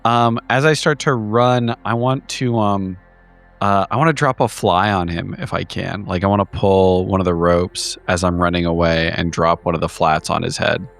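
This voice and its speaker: A man's voice: low-pitched, fairly even intonation, moderate pace, vocal fry, a bit bright. A man playing a tabletop roleplaying game, chatting casually and explaining his ideas informally. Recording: music behind the voice. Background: background music.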